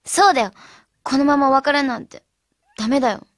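A woman playing a childlike, excitable teenage schoolgirl in a radio drama. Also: sits high, a little fast, dry recording, clean studio sound. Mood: eager.

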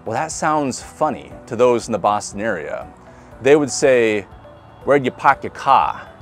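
Lively Midwestern pastor. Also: male voice, mid-range voice, unhurried, soft background music. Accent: Midwestern American. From Nebraska. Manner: conversational, chuckles, repeats words when restarting. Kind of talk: online sermon to camera. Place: outdoors beside a brick building. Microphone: camera at close range.

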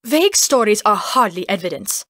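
A woman's voice: medium pitch, sing-song, normal speed, sharp tone, no echo, studio quality. A woman voicing an indignant, skeptical council member in a fantasy audiobook, haughty and incredulous. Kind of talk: fantasy audiobook character acting.

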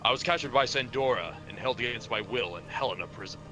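A man's voice: mid-range voice, animated, fast, over music, lightly acted. A man voicing a loyal knight commander from a fantasy video game, speaking in formal declarations. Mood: proud, dutiful. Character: loyal knight commander reporting to his king.

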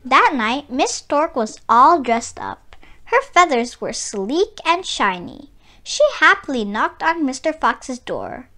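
A woman reads a children's story aloud in a high voice. Her delivery is slow and expressive, with a lively rise and fall suited to young listeners. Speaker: children's book read-aloud narrator.

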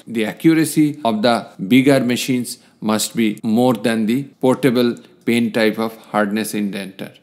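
Man giving a technical lecture. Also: mid-range voice. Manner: didactic, explanatory, reading out points. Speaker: instructor in welding inspection. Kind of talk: scripted lecture narration over slides.

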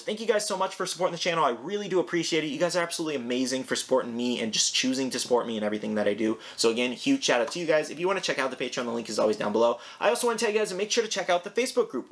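A young man with a hoarse, raspy voice from a sore throat, chatting casually as he unboxes a collectible toy in his home room. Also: on the high side, a bit bright. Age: young adult. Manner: chatty, rambling, eager.

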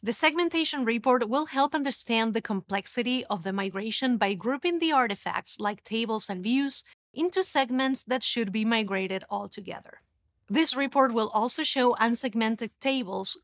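A woman's voice: on the high side, moderate pace, no room sound. Woman presenting a scripted tech lesson. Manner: clear, even, instructive delivery. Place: studio. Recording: dry studio sound.

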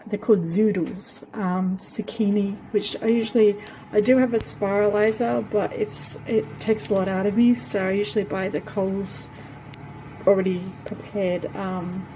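Slow-talking woman vlogger. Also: medium pitch, steady tone, noisy background. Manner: rambling and chatty, with many fillers. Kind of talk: casual vlog talk. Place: home kitchen, indoors. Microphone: handheld phone camera.

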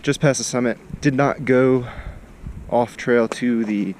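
A man's voice: mid-range voice, steady tone, measured pace, darkish tone. Young man vlogging outdoors in noisy wind. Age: 20s. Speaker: vlogger hiking a long trail. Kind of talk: casual hiking vlog. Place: outdoors on a wooded mountain trail. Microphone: action camera held at arm's length. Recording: windy, noisy outdoor action-camera audio. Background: wind noise.

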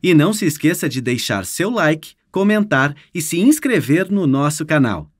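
A Brazilian man delivers a scripted promo as an announcer. His fairly high voice swings in a lively way and has a husky edge. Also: normal speed, dry recording, clean studio sound. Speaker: announcer for an audiobook publisher.

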